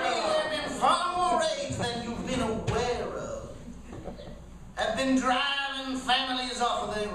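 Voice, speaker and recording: Man acting a high-voiced frontier rabble-rouser, echoing. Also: pushed and bright, exaggerated voice. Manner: emphatic, speechifying, demagogic. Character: zealous rabble-rousing frontier man stirring settlers to arms. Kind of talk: western radio theater acting. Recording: echoey room, distant mic, faint noise.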